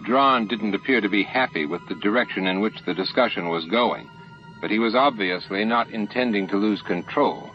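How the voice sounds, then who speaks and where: A man's voice: lowish voice, normal speed, muffled recording. A man reading scripted narration from a science fiction novel as an audiobook narrator. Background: music and added sound effects.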